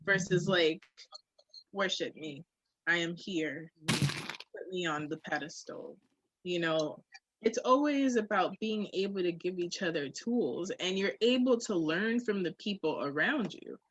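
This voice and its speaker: A woman's voice: on the low side, lively intonation, unhurried, breathy edge, poor audio. A woman in a candid, casual podcast conversation, speaking reflectively and emphatically with slang. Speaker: podcast co-host.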